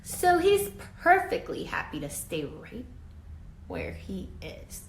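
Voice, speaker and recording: A woman reading a children's picture book aloud like a teacher, deliberate and emphatic, drawing out words and adding explanatory asides. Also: medium pitch, huge pitch swings. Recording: echoey room, faint background noise.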